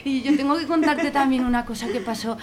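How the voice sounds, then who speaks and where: A woman playing an excitable, affectionate little sister in a radio drama. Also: high-pitched, brisk, a crowd in the background, natural voice. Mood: excited, affectionate. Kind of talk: amateur radio drama acting.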